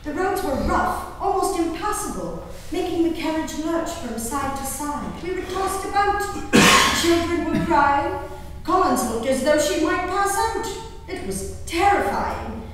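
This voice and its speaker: High-voiced grieving gentlewoman in an echoey hall, acted by a woman. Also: lively intonation. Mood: anxious, resolute. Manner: emotive, deliberate, drawn-out words. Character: grieving, wayward colonial-era gentlewoman and mother, outwardly dutiful. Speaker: stage performer. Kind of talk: theatrical dramatic reading of a diary. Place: live performance in a large echoey room. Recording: echoey hall, distant mic, faint noise.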